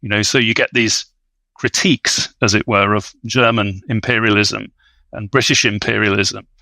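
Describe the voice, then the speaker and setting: A man's voice: fairly low pitch, steady tone, normal speed, creaky, pushed and bright, no echo, rough recording. A man speaking as an expert podcast guest in an academic discussion, with hesitant, scholarly, wordy phrasing.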